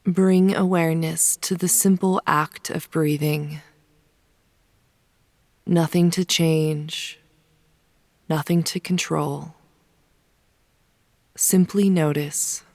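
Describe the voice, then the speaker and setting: A woman leading a guided yoga nidra meditation in a soft, soothing, unhurried manner, with phrase ends sometimes hushed. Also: sits low, steady tone, no room sound, clean studio sound. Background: soft pink noise.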